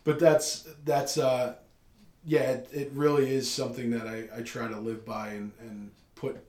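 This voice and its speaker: A man's voice: medium pitch, little pitch movement, a little slow, darkish tone. A man of thirty talking casually and earnestly as a podcast guest, reflective and emphatic about what he says. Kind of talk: casual long-form conversation. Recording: echoey, reverberant room.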